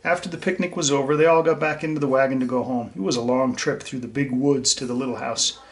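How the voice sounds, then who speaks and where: A man's voice: mid-range voice, steady tone, fast talker, a little hiss or hum. A man reading a children's story aloud and talking to young listeners in a simple, explanatory way. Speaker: teacher reading a picture book aloud to children.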